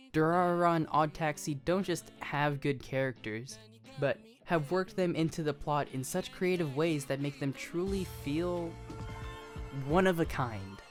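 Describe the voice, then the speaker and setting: Conversational anime video essayist over music. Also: medium pitch. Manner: conversational with self-aware asides. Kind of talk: scripted commentary on anime. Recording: music behind the voice.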